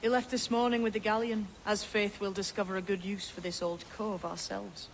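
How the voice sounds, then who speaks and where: Game supporting role: cautious pirate quartermaster, sober and advisory, even delivery, faint ambience behind. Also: male voice, sits high, brisk, vocal fry, a little hiss or hum, rough recording. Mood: sober, cautioning. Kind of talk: video game acting.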